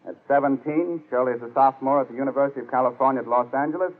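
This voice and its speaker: A man's voice: medium pitch, rapid-fire. An American man working as a radio host, reading scripted announcements in 1940s broadcast style. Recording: tinny, band-limited 1940s radio recording.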